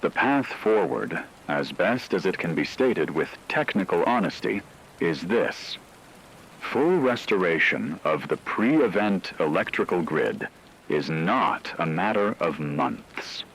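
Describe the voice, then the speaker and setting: A grave actor as a male radio announcer delivers a formal emergency briefing in a low voice. His delivery is measured, solemn and technical, with light noise behind it. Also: a bit bright, full character voice, rough recording. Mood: measured, sober. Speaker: broadcast announcer. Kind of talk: scripted formal news briefing.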